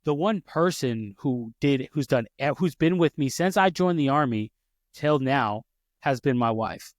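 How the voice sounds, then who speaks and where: A man in his thirties hosts a podcast in a casual, conversational way, drifting into personal stories and stopping to correct himself. His voice sits at an ordinary middle pitch. It is recorded close and dry, with no room sound. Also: lively intonation, measured pace, no room sound. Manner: storytelling, frequent restarts and self-corrections. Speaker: podcast host.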